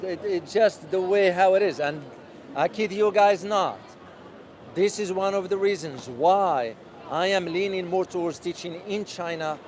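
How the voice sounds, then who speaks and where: A man vlogging as he walks a city street outdoors, talking loudly and passionately while answering viewers' questions. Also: sits high. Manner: loud and passionate. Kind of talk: casual walking vlog answering live questions. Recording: crowded outdoor street noise. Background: street crowd.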